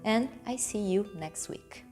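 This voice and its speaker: A Brazilian woman speaks English as a second language, with a Brazilian Portuguese accent, at an unhurried pace and a mid-range pitch. She explains vocabulary carefully, repeating key words as she goes. Soft music plays faintly underneath. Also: expressive. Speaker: English teacher and channel host. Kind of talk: relaxed explanatory lesson to camera.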